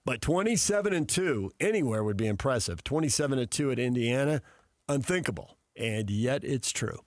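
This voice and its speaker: A man hosts casual sports talk with a lively, animated voice that moves up and down a lot. His pitch sits in the middle for a man. He is playful and close to the mic in a dry studio. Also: normal speed, no echo. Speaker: sports radio co-host. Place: radio studio.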